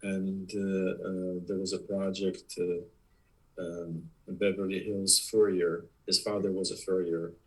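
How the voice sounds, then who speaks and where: A low, husky-voiced man in his sixties speaks English with a Polish accent. He talks haltingly as an interview guest, filling pauses with uh and restarting his sentences. His tone stays deep and slightly breathy throughout. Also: little pitch movement, poor audio. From Poland. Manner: halting, many fillers and restarts. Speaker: guest, production designer. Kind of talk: casual interview.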